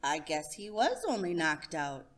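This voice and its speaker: A woman voicing a calm, practical grandmother in a storybook, with a gentle, measured manner. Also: deep voice, background noise. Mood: matter-of-fact.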